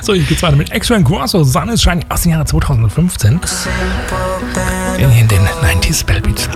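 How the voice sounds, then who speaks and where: A man's voice: fairly high pitch, big pitch swings, brisk, music in the background. A man from Leipzig with a Saxon German accent and a slight lisp, rambling and joking about himself as a radio host in casual chat. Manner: chatty, rambling, self-mocking, with many fillers and restarts.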